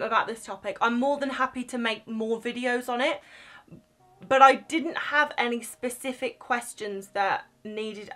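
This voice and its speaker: A woman's voice: a little high, bright, forceful tone. A young woman talking straight to camera in a small room, giving frank, reassuring advice in a chatty vlog manner. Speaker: vlogger giving crew advice. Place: small indoor room, plain wall.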